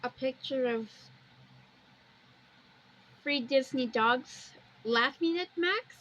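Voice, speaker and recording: A woman giving unscripted, halting commentary on pictures, rambling a little and repeating phrases. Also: high voice, fairly bright tone. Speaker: video host. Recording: dull lo-fi recording with background noise.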